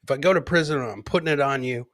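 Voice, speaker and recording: A man's voice: medium pitch, fast, a bit bright. A man hosting a casual live comedy podcast, rambling and jokey, often repeating his own phrases. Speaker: podcast host.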